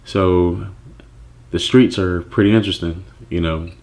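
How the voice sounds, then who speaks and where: A man born in Nigeria speaks with a very low voice, recalling how his clothing brand came about. He talks in a loose, reflective way, pausing on fillers and restarting his sentences as he thinks. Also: steady tone, darkish tone. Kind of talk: personal story monologue.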